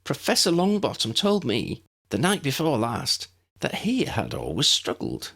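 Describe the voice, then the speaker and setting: Guy doing a polite young witch girl, pitched way up, eager n kinda unsure, storybook. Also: huge pitch swings, a little slow, creaky, fairly bright tone, breathy edge, exaggerated voice. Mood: tentative, earnest.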